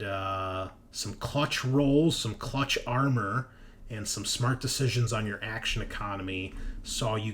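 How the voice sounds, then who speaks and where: A man's voice: lowish voice, noisy background. A man speaking as a game master, recapping a tabletop roleplaying session in a dramatic storytelling manner.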